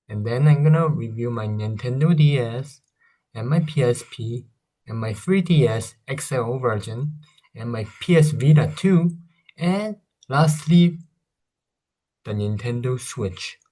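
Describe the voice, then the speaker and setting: A man's voice: mid-range voice, darkish tone. A man in his thirties with a Korean accent, speaking English as a second language and rambling casually through a console review, often hesitating and correcting himself. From South Korea. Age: 30s. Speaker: vlogger.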